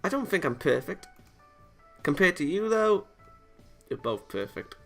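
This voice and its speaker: Man playing a huffy young anime angel girl, visual novel, raised pitch, gravelly edge. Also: sing-song, unhurried, faint music behind, exaggerated voice. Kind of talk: visual novel character reading.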